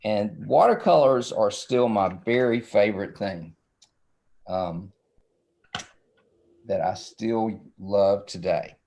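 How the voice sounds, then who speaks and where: A man with a lowish, gravelly voice gives a casual art demonstration for children. He rambles as he works and keeps checking that viewers follow. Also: slow pace, pauses often, darkish tone. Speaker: illustrator giving a demonstration.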